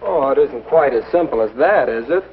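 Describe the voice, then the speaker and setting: A man's voice: medium pitch, expressive, normal speed, slight background noise, close to an everyday voice. A man playing a skeptical, plain-speaking teenage boy in a 1950s instructional film. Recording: muffled old educational film soundtrack.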